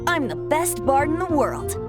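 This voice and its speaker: A woman's voice: sits high, big pitch swings, moderate pace. A woman voicing a playful, secretive young male bard in a video game cutscene, talking in a lilting, teasing way. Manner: lilting, teasing, then soft and solemn. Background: game soundtrack music.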